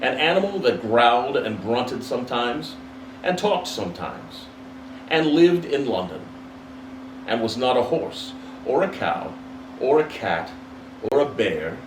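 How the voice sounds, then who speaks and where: A man reading a classic story aloud in an expressive, dramatic narrating style. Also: medium pitch, measured pace. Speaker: storybook reader. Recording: roomy, distant mic, faint background noise.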